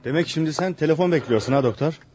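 An actor playing a sceptical, teasing friend who argues dismissively in an old radio play. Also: male voice, a little high, quick pace, music in the background, lightly acted. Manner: dismissive, argumentative. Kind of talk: old radio theater acting.

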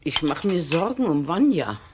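An actress playing a fretful, caring middle-aged peasant aunt in a children's radio play, with a fussing, expressive delivery. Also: female voice, sits low, normal speed, background noise. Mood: worried.

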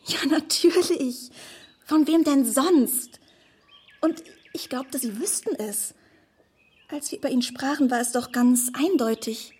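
A woman playing a naive, smitten young woman in a radio drama, earnest and effusive with a breathy delivery. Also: high voice, takes it slowly, a little mellow, heavily acted. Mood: hopeful, hesitant. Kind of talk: German radio drama acting.

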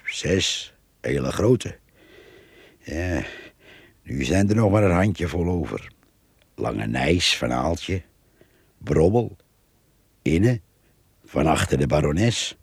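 Gravelly, very husky old countryman. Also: extremely low pitch, heavily acted. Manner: folksy, blunt, halting. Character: gruff old countryman, poacher, loyal drinking companion. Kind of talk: radio play acting.

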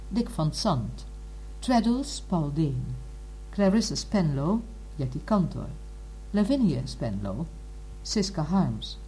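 A woman reading formally as a radio drama announcer, in a measured, deliberate manner. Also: deep voice, mellow, slight background noise, low-quality recording. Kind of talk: formal scripted credits reading.

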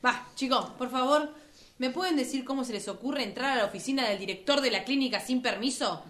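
Una mujer con acento interpreta en un radioteatro aficionado a una empleada de clínica exasperada, enérgica, regañona y mandona. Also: female voice, high-pitched, a little fast, a bit bright. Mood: exasperated. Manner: scolding, bossy. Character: brisk, exasperated female clinic employee. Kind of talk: amateur radio play rehearsal.

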